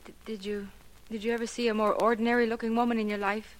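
A woman's voice: a little high, little pitch movement, fast talker, lightly acted. An actress playing a plain, resigned woman of thirty-four in a 1940s radio drama, confiding in hesitant, stammering phrases. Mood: earnest, insistent.

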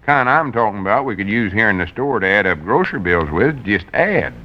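An actor playing an eager, gullible small-town storekeeper in an old-time radio comedy, with a rural Southern accent and earnest backwoods talk. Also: male voice, lowish voice, very animated, a little fast. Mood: earnest explaining. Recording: muffled vintage radio recording.

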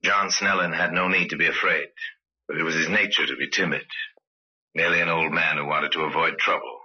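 Very deep, husky crime narrator. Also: male voice, steady tone. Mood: grave. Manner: deliberate, ominous, rhetorical. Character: grave, knowing male crime-story narrator. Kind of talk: dramatic scripted radio narration. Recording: dull vintage radio recording.

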